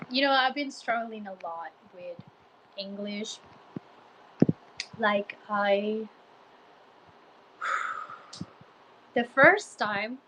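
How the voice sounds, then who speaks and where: A woman with a mild Guatemalan Spanish accent talks slowly into her phone, chatting with live-stream viewers. She hesitates often, restarting sentences and filling pauses. A faint ambient hum sits behind her voice. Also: mid-range voice, a bit bright, poor audio. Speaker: Spanish teacher livestreaming. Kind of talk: casual live-stream chat answering viewers.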